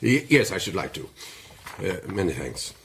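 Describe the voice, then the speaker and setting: An actor playing a gruff, upper-class older gentleman in a period detective radio drama, clipped, formal and pompous. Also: sits low, very animated, normal speed, a little hiss or hum, full character voice. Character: gruff, upper-class titled gentleman, father of grown daughter.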